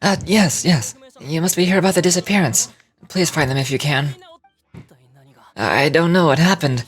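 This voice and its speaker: An actor playing a shaken, fearful mine worker in a video game, anxious and hesitant. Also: male voice, on the high side, normal speed, slightly rough, faint music behind. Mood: shaken, worried.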